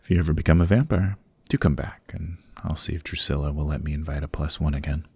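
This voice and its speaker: A man playing a courteous, chatty old vampire in an ASMR roleplay, speaking in genteel, old-fashioned phrases with a deep, gravelly, husky voice. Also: fairly even intonation, fast talker, a little mellow, dry recording, full character voice. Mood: amused, chatty. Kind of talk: ASMR roleplay, interview monologue. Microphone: close microphone, dry.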